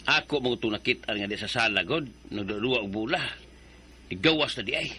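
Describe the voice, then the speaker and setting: A man playing a bright-voiced working husband. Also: mid-range voice, lively intonation, a little fast, slight background noise. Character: tired working husband, easygoing. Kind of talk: radio drama acting.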